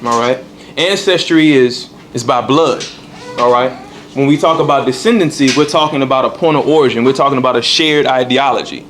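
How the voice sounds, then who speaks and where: A man's voice: medium pitch, fairly quick, fairly bright tone. Man teaching a temple class. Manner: call-and-response, repeats points with "all right". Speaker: religious teacher. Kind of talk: temple lesson to a congregation. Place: temple hall.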